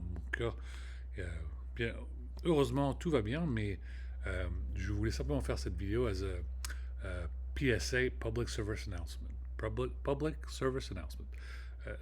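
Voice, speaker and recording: A man with a fairly low voice hosts his own show and talks in a halting, casual way, full of restarts and hesitations, often dropping English words mid-sentence. His speech stumbles and repeats. A faint hum of room ambience stays underneath. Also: darkish tone. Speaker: podcast host. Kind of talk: casual monologue to camera.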